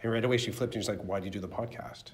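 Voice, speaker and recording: A male podcast host telling a story casually, animated, with many restarts. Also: on the low side, racing, a little hiss or hum. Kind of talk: casual conversational podcast talk.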